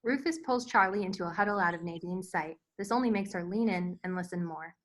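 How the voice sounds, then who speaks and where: A woman's voice: on the low side, brisk. A woman narrating scripted stage directions at a screenplay table read.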